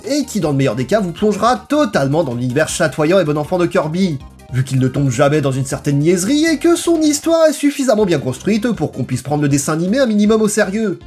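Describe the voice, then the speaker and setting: A narrator delivering a scripted video essay in an expressive, emphatic and colloquial way over background music. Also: mid-range voice.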